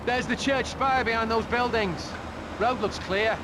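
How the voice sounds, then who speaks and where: An eager young soldier. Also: male voice, extremely high pitch, background noise. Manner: eager, quick questions to his sergeant. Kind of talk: video game cutscene acting.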